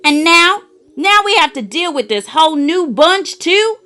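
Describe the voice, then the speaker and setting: A woman's voice: very high-pitched, very animated, unhurried, pushed and bright, voice pushed up, full character voice. A woman voicing a cranky old goose in a children's story, indignant, complaining and emphatic. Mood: indignant, scornful. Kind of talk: children's storybook character reading.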